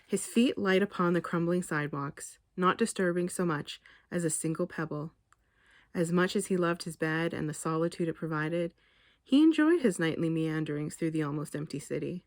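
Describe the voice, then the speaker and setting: A woman author reading her short story aloud in a measured, expressive literary narration. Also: low-pitched, dry recording, clean studio sound.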